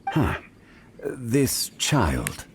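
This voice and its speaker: A man voicing a composed, learned gentleman in a video game, speaking formally and deliberately. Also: mid-range voice, huge pitch swings, vocal fry, distant mic, a little hiss or hum, exaggerated voice. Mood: calm, inquiring.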